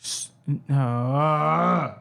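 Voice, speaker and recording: A man with a high-pitched voice giving heated, profane reaction commentary, repeating himself and stumbling over his words. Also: drawn-out words, gravelly, fairly bright tone. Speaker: reaction streamer.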